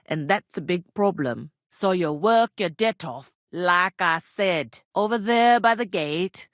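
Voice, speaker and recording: An actress playing a plain-spoken, bossy, world-weary village innkeeper in a medieval video game, with a rustic working-class English regional accent and a blunt, wry manner. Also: female voice, fairly low pitch, no room sound, clean studio sound. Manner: blunt, earthy, colloquial, wry. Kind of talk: video game character dialogue.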